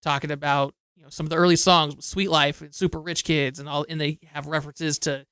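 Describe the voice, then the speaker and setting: A man with a bright, crisp and fairly high voice co-hosts a casual music podcast from a dry, close-miked spot. He talks in an easygoing, agreeable way and is quick to chime in. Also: little pitch movement, no room sound. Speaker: podcast co-host.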